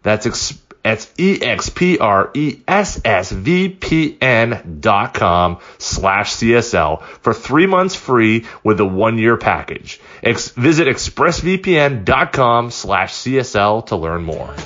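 A man hosting a podcast, reading a sponsor ad in an upbeat, conversational sales pitch. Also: big pitch swings, slow, hardly any pauses, fairly bright tone, muffled recording. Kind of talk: conversational scripted ad read.

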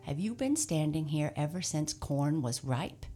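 A woman voicing a brisk, kindly old Santa Claus who speaks heartily and gives cheerful commands. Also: sits low, expressive, warm tone, soft background music. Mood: questioning.

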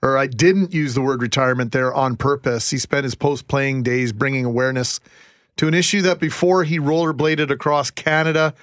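A man with a medium-pitched voice co-hosts on radio. He speaks in long, slightly formal conversational sentences, captured dry and close. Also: normal speed, fairly bright tone, no room sound. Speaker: radio co-host.

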